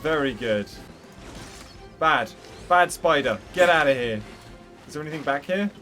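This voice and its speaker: A man's voice: a little high, expressive, a little slow, sharp tone. A man giving casual, chatty and enthusiastic gameplay commentary as the host of a gaming video. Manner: enthusiastic, chatty reactions to the game. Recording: game music behind the voice. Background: video game music.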